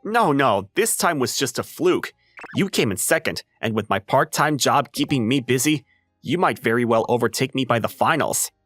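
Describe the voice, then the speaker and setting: A man playing an ordinary, level-headed high school boy who narrates a manga dub story in a wry, casual way. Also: lively intonation, normal speed, a bit bright, no room sound, faint music behind. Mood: dry, modest. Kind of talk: scripted first-person story narration and dub acting.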